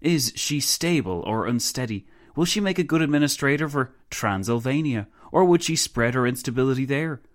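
A man's voice: medium pitch, lively intonation, moderate pace, hardly any pauses, pitched above the performer's own voice, lightly acted. A man voicing a self-satisfied Romanian statesman who speaks in flowery, pompous oratory in a narrated story. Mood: smug, oratorical.

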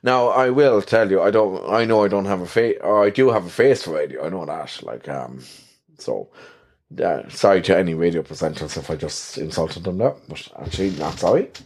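A lower-pitched Irish man hosting his podcast alone, rambling along in an easygoing, self-deprecating way. His voice is soothing and he fills the gaps with plenty of ums and uhs. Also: normal speed, darkish tone. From Ireland. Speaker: podcast host. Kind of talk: casual solo podcast chat.

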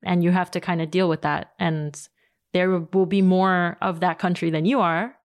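A woman's voice: fairly low pitch, fairly even intonation, normal speed. A woman hosting an interview podcast, putting questions to her guest in a conversational way.

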